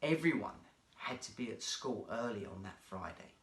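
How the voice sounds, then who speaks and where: A man narrating a children's story as a guest storyteller, in an animated, theatrical manner. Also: on the low side, normal speed. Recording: roomy, echoey sound.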